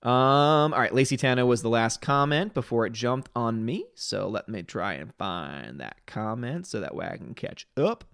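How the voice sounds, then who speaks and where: A man's voice: medium pitch, lively intonation, gravelly, fairly bright tone, clear, resonant tone, no room sound, clean studio sound. A man in his thirties hosting a livestream, reading viewer comments aloud and giving his opinions in a chatty, opinionated way, his voice a bit tired. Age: 30s. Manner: chatty, opinionated, quick asides. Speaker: livestream host reading chat. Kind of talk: casual livestream chat.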